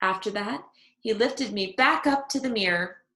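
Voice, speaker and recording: A woman reading a children's story aloud in expressive narration, phrasing it emphatically for young listeners. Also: mid-range voice, moderate pace, roomy sound.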